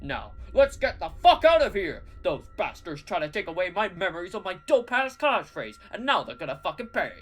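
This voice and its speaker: A woman voicing a boastful, dim, muscled male hero who talks loudly and rambles. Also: lowish voice, sing-song, moderate pace, hardly any pauses, soft background music. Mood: brash.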